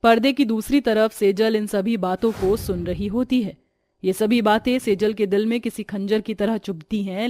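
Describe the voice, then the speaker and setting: A woman's voice: fairly high pitch, darkish tone, a little hiss or hum. A woman narrating a scripted cartoon story as a storyteller.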